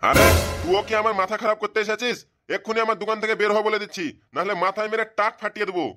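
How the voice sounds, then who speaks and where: A man's voice: high-pitched, steady tone, very fast, sharp tone, faint music behind. A man voicing a grumpy, bald old bookshop keeper in a comedy cartoon, scolding and sarcastic. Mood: furious. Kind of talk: comic cartoon dubbing.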